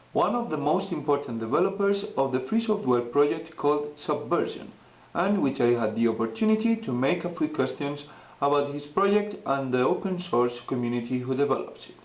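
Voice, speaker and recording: A man's voice: on the high side, lively intonation, normal speed, a little mellow. A man presenting an interview in a read, scripted introduction. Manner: reads from a script. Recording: echoey room.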